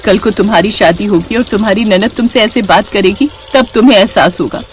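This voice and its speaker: A woman voicing a gentle, dutiful young daughter-in-law in a family story, patient and softly reasoning, with self-respect. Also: medium pitch, brisk, slight background noise, lightly acted. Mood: reproachful.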